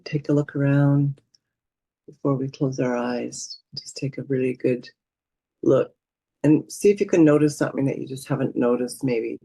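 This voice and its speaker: Mellow, low-key woman guiding meditation. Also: vocal fry, slightly rough, dry recording, poor audio. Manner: gentle, soothing, unhurried with pauses. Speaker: meditation teacher. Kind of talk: guided meditation instruction.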